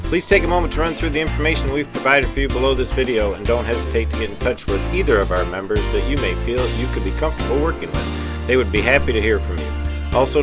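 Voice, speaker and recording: A man hosting an online interview show, upbeat and folksy, thanking his guests at length. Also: medium pitch. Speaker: host.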